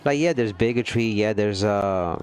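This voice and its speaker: A man's voice: on the low side, moderate pace, a little mellow, smooth. A man chatting casually with his livestream viewers, earnest and rambling, often checking in with "you know what I mean". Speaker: gaming livestreamer.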